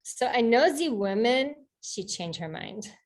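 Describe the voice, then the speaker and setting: A woman's voice: a little high, lively intonation, unhurried. A woman putting on a sincere, romantic Frenchman with a mock French accent, speaking coaxingly in simple broken English phrases. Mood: earnest.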